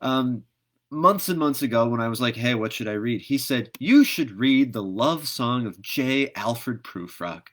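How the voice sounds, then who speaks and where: Man, middle-aged, medium pitch, casual livestream host, conversational, halting, thoughtful. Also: lively intonation, a bit bright. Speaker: host of a read-aloud livestream. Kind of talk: casual livestream chat to viewers.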